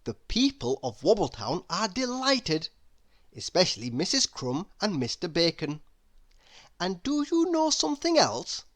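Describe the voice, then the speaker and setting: Animated, slow-paced woman reading a storybook. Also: on the low side. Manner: deliberate, dramatic pauses. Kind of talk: expressive children's read-aloud narration.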